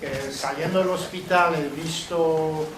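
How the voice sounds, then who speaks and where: A middle-aged man with a French accent, a film director speaking haltingly after a screening, often restarting his phrases. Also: on the high side, fairly even intonation. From France. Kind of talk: post-screening Q&A. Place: cinema hall. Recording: echoey hall from far off with noise.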